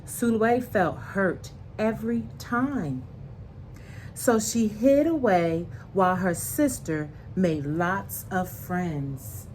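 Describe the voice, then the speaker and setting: Female children's read-aloud host, slow and deliberate, expressive, simple asides, slightly noisy recording. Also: medium pitch. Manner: slow, deliberate, explains pictures in simple words. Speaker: storyteller reading a picture book aloud to children.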